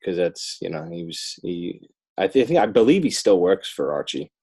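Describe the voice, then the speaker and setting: An American man talking casually as an interview guest, halting and thinking aloud with repeated false starts. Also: deep voice, big pitch swings, a little mellow, slightly rough. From northern New Jersey, United States. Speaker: interview guest, children's book author and illustrator.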